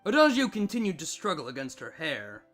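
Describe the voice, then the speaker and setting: A man narrating a scripted story with dramatic, expressive delivery. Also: a little high, a little fast. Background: background music.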